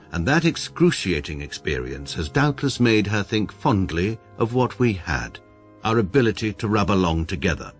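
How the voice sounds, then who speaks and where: An actor with an English accent playing a stiff, precise barrister who narrates a radio comedy. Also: male voice, low voice. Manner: dry, precise, deadpan. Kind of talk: radio drama acting.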